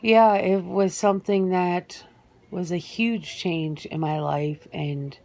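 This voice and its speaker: A woman playing a guarded, earnest werewolf answering interview questions in an ASMR roleplay, speaking haltingly and matter-of-factly. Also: on the low side, gravelly, a little mellow. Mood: matter-of-fact, reflective. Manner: hesitant, matter-of-fact, halting with pauses.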